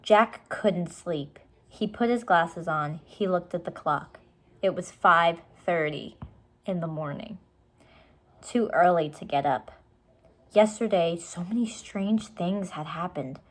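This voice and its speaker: A woman reading a storybook aloud to young children, pausing to explain things to them. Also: on the low side. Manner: explains words and asks questions for young listeners. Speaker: storybook reader.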